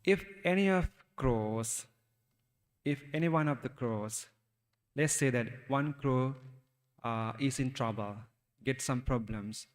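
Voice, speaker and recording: A man with a Sri Lankan accent, a Buddhist monk giving a talk to young listeners, speaking haltingly and asking them questions. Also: mid-range voice, in a large hall. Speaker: Buddhist monk teaching youth. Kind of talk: Dhamma talk for children.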